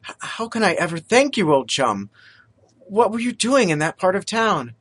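Man reading a polite young gentleman who stammers, slightly raised and bright. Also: sits high, big pitch swings, normal speed, slightly rough, voice pushed up, full character voice. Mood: friendly. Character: earnest, apologetic young gentleman, the son.

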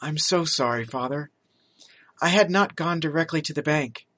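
Man reading a polite young gentleman who stammers, slightly raised and bright. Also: fairly high pitch, moderate pace. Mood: apologetic. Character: earnest, apologetic young gentleman, the son.

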